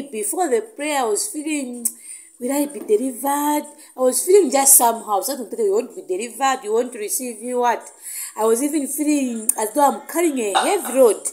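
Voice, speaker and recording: A woman's voice: a little high, dark tone, low-quality recording. A woman from Zambia giving an emotional, rambling testimony of feeling set free. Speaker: prayer testimony giver. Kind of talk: personal testimony.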